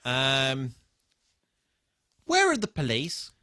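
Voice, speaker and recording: Casual male podcaster: middle-pitched, crisp bright edge, halting off-the-cuff delivery, swears now and then. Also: huge pitch swings, no echo, studio quality. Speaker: podcast co-host. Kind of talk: casual chat, TV episode review from notes.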